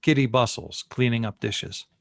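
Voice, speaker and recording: A man's voice: mid-range voice, moderate pace, creaky, dry recording. A man reading stage directions as narrator of a screenplay table read, in clipped, matter-of-fact phrases.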